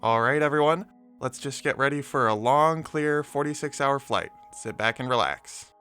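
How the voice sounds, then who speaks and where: A man's voice: mid-range voice, normal speed, sharp tone, soft background music, natural voice. A man playing an easygoing alien co-pilot announcing to passengers in a radio drama. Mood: reassuring.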